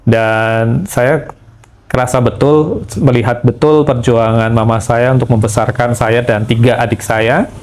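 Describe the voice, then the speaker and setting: Middle-aged man, measured and pausing. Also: medium pitch, steady tone, background noise. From Indonesia. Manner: measured, with frequent pauses and fillers. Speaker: interview guest. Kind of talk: conversational testimony.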